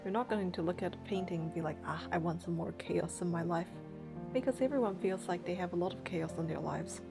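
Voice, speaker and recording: A woman's voice: lowish voice, brisk, creaky, over music. A woman reading game narration and dialogue aloud, with casual commentary of her own.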